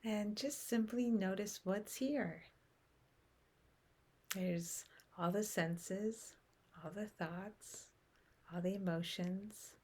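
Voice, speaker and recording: A woman leading a guided meditation in short, unhurried phrases with long pauses, softly trailing into breath at the ends. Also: medium pitch, breathy edge. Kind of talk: guided meditation, unscripted and contemplative.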